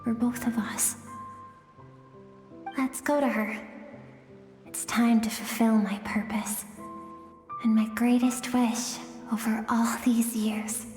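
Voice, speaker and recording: A woman voicing a game character, the gentle suppressed emotional self of a swordswoman, speaking softly and earnestly with pleading warmth. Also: fairly high pitch, fairly even intonation, slow pace, pauses often. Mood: solemn, wistful. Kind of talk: video game voice acting. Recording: very echoey with long reverb, music behind.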